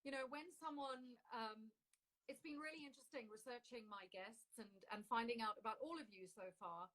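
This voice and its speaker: A woman hosts a casual interview over a video call, chatty and animated. She drops self-deprecating asides between her questions. Her voice sits a little high. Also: normal speed, rough recording. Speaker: interview host and actress.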